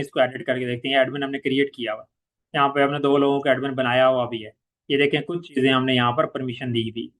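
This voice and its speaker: Male software trainer, Pakistani accent, slightly high voice. Informal, instructional walkthrough delivery, repeats key points, drops in English terms. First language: Urdu. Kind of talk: informal step-by-step software tutorial.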